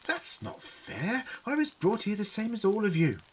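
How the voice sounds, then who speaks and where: A woman's voice: mid-range voice, huge pitch swings, normal speed, husky. A woman playing a beleaguered doctor in an audio drama, earnest and defensive, pleading innocence.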